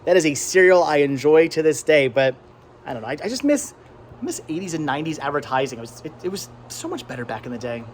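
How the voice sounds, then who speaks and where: A man's voice: a little high, very animated, fast. A middle-aged American man chatting casually and enthusiastically in a rambling vlog style, often repeating words as he goes. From Pennsylvania, United States. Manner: enthusiastic, nostalgic, stammering repeats. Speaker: host of a thrifting vlog. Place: flea market, among vendor stands. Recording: clear background noise, flea market ambience.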